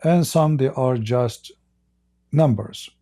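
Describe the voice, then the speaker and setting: A male host of a live call-in show, answering a caller in a firm, measured and emphatic way. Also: mid-range voice, mellow.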